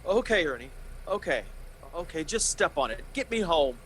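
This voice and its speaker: A man's voice: on the high side, very animated, moderate pace, fairly bright tone, a little hiss or hum, rough recording. An actor playing a desperate, agitated family man in a pleading, old-time radio drama style. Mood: frantic, impatient.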